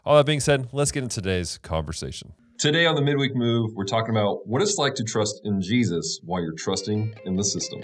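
A man in his thirties, a pastor hosting a casual chat show, upbeat and quick to laugh, close to a studio mic in a small wood-paneled room. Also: on the low side, animated, music in the background. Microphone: studio mic on boom arm, close.

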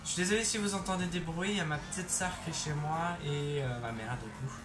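A young man chatting casually over his gameplay on a headset mic, excitable and throwing in English exclamations. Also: a little high, fairly quick. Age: young adult. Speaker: gaming YouTuber. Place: bedroom. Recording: roomy sound with game music behind.

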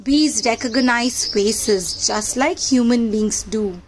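A woman's voice: a little high, slow, a little hiss or hum. A woman narrating a children's read-aloud book in a teacherly manner, addressing young listeners directly.

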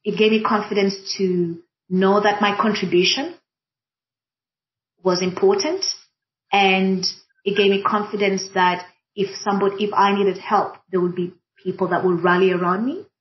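Woman, reflective and halting. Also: medium pitch, fairly even intonation. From Kenya. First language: Swahili. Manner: thoughtful, many fillers and restarts. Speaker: diversity and inclusion practitioner, panel guest. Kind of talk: reflective panel conversation. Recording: dull lo-fi audio.

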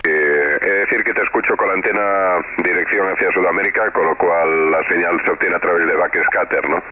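A man's voice: low voice, fairly even intonation, fairly quick. A man with a Spain Spanish accent, casually giving audio reports as an amateur radio operator. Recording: narrow tinny band, clear background noise.

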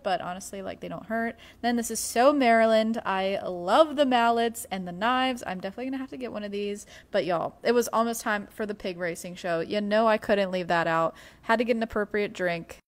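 A young woman narrating a casual vlog voice-over in a chatty, enthusiastic way. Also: on the high side. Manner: chatty and enthusiastic, says y'all. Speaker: vlogger.

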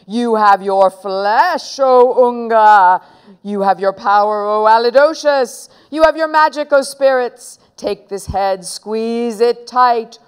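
A woman's voice: on the high side, takes it slowly, clear, resonant tone, voice pushed up. A woman voicing a mischievous, boastful young boy from a children's storybook in a theatrical, taunting way. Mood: grand chanting.

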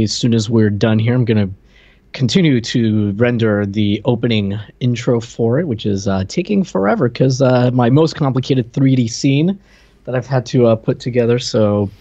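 A man's voice: medium pitch, creaky, dark tone, no room sound. A middle-aged man co-hosting a podcast, chatting casually into a close desk microphone on a slightly dull stream. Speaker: podcast co-host. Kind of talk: casual chat on a film review stream. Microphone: desk microphone close up, video call.

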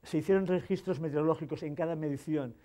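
Hesitant male conference speaker, mellow voice. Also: on the high side, fast. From Spain. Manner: hesitant, many fillers and restarts. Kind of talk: technical conference talk. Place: conference hall.

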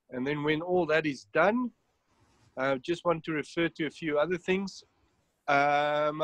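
A man's voice: fairly high pitch, fairly bright tone, no echo. A man explaining step by step how to set up a phone app in an online webinar, with frequent ums. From South Africa. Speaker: app developer giving a demonstration. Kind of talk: webinar walkthrough. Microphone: online call.

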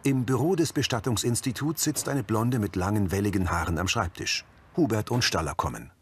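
A man's voice: lowish voice, lively intonation, a little fast, background noise. A man reading scripted audio description, narrating scenes as a narrator.

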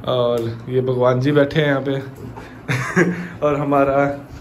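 A man's voice: medium pitch, flat delivery, takes it slowly, a little hiss or hum. A young man giving a casual, chatty house tour vlog, walking from room to room and talking to his viewers like friends. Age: young adult. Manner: chatty, addresses viewers as "bhai". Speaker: vlogger. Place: indoors in a family home, walking room to room. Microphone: handheld action camera.

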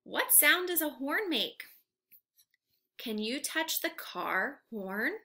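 A young woman reading aloud to small children like a teacher, asking simple questions in an animated, carefully enunciated way. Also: high-pitched, slow. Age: young adult. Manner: animated, exaggerated, enunciated for little learners. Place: living room at home, indoors.

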